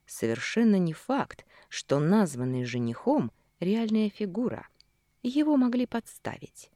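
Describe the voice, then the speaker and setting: A woman reading a first-person novel aloud as an audiobook narrator, in a measured, expressive storytelling way with dramatic pauses. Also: low-pitched, no room sound, clean studio sound. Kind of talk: first-person fiction narration from a male hero's view.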